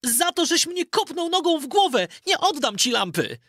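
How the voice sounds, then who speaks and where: A man voicing a bold young male fairy-tale hero, calling out in a high, urgent, eager way. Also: big pitch swings, moderate pace, fairly bright tone, dry recording, clean studio sound, full character voice. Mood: defiant.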